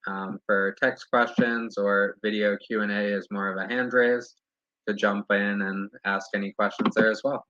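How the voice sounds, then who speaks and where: A man's voice: mid-range voice, bright, forceful tone, no room sound. A man moderating an online panel discussion, talking casually with many ums and restarts over a video call. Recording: dull lo-fi video call audio.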